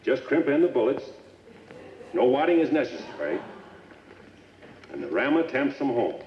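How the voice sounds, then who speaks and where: A man's voice: medium pitch, very animated, moderate pace. An actor playing a businesslike traveling gun salesman in a radio western, with plain-spoken, assured sales patter. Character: businesslike traveling frontier gun salesman, proud of his wares. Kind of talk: old-time radio western acting. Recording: muffled, echoey old radio recording, faint noise.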